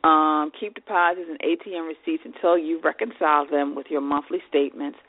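A woman's voice: low voice, fairly even intonation, brisk, dry recording. A woman hosting an advice podcast, sounding congested and nasal, reading off lists of tips with casual fillers. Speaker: podcast host. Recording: tinny phone-like line.

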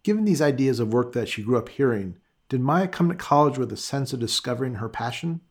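Show host, conversational, brief affirming replies, husky voice. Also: medium pitch, lively intonation, brisk. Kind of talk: conversational podcast hosting.

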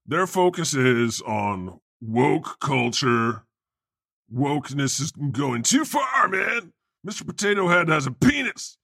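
Man ranting sarcastically, slightly high voice. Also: sing-song, slow pace, gravelly, sharp tone, husky, no room sound, studio quality. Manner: sarcastic, profane, opinionated ranting. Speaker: podcast co-host. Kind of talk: casual comedic panel talk.

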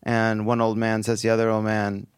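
A man presenting commercials on a live comedy stage, animated and laughing with quick asides. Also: on the low side, smooth, dry recording, studio quality. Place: theater stage before an audience.

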